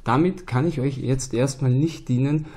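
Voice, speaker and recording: A man's voice: medium pitch, normal speed, breathy edge. A man in his thirties with an Austrian accent and a cold, chatting to camera as a board game channel host in a small room at home. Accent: Austrian German. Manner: relaxed and chatty, with many filler "ja"s and "ähm"s. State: has a cold. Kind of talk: casual talk to camera.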